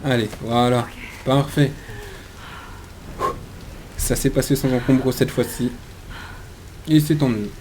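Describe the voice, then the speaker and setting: A man's voice: medium pitch, fairly even intonation, slow, long pauses, background noise. A man hosting a gaming video with casual let's play commentary, chatty and hesitant as he thinks aloud.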